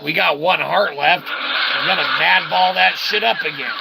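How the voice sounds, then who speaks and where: A man with a heavily creaky, gravelly voice that sits a little high commentates casually on a video game. He is wry, swears mildly and addresses the game and its characters directly. Loud noise runs behind his voice. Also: normal speed. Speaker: host of a gameplay video.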